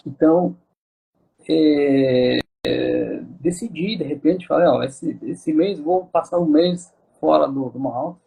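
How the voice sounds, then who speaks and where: A man's voice: fairly high pitch, slow, creaky, warm tone, breathy edge, low-quality recording. A Moroccan man speaking with a Moroccan accent, an interview guest talking casually with many fillers and restarts.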